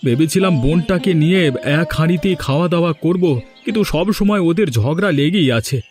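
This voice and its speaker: A man playing a weary but gently wily village family man in a cartoon, talking in a plain conversational way. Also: on the high side, a little fast, soft background music, natural voice. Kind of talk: cartoon dubbing.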